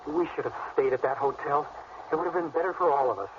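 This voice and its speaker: A man's voice: on the high side, quick pace, close to an everyday voice. An actor playing an anxious, protective husband and father in a radio horror drama, tense, clipped and earnest. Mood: uneasy, weary. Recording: muffled, noisy old radio recording.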